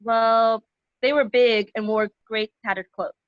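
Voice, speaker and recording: Nervous woman witness acted by a woman, highish, hesitant, earnest and shaken, dull muffled recording, table-read drama. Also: steady tone, a little slow, lightly acted. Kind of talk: amateur online table read of a screenplay.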